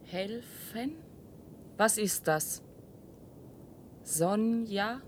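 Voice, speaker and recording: An actress playing a confused, defensive woman devoted to her device in a radio play, vacant and repetitive. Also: very slow. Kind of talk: German radio play acting. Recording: rumbly, noisy archive radio recording.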